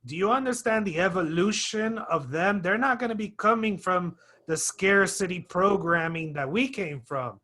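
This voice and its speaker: Bright, high-voiced persuasive man. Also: animated, moderate pace. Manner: emphatic and persuasive. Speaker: real estate webinar host. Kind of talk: webinar conversation.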